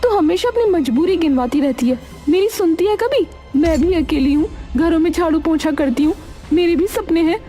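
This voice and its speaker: A woman plays an aggrieved housemaid in a cartoon moral tale. She is very high in pitch, reproachful and insistent, forever complaining and demanding. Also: lively intonation, moderate pace, mellow, soft background music. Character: Radha, nagging female housemaid friend demanding money back. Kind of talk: cartoon moral story acting.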